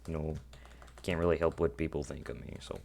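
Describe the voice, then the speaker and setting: A teenage boy with a deep voice, rambling awkwardly and putting himself down while commenting on his own gameplay. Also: brisk, gravelly, a little mellow, a little hiss or hum. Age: teens. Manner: rambling, self-deprecating, awkward. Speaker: gaming channel host.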